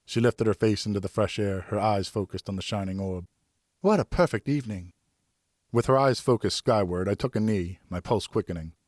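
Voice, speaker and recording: A man with a fairly low voice reads a novel aloud. His delivery is scripted first-person storytelling from a professional audiobook narrator. The sound is close and dry, with very little room. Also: fairly even intonation, brisk, hardly any pauses, darkish tone, dry recording.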